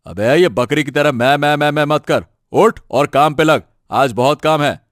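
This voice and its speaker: A man acts as a gruff farmer in a cartoon fable. He is bossy and scolding, barking orders in a medium-pitched voice, recorded dry. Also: unhurried, dry recording, natural voice. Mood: angry scolding. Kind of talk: Hindi animated moral story acting.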